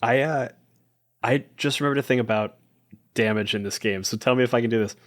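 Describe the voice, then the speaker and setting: A man is a guest player in a tabletop game. He has a medium-pitched voice and talks casually, improvising narration and chatting. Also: fairly quick. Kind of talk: casual tabletop play and chat.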